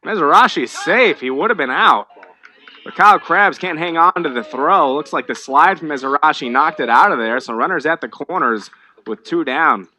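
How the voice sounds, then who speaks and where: An American man calling live baseball play-by-play, conversational between pitches and more animated on the action. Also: moderate pace. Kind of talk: live sports commentary.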